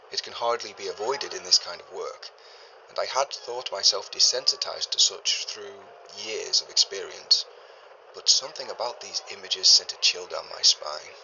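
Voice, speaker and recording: An actor playing a learned 1930s archaeologist, a man recording a formal, deliberate journal in grave and uneasy tones for an audio drama. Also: low voice, pushed and bright, a little hiss or hum, full character voice, low-quality recording. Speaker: expedition leader keeping a recorded log. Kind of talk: scripted audio drama monologue, spoken journal.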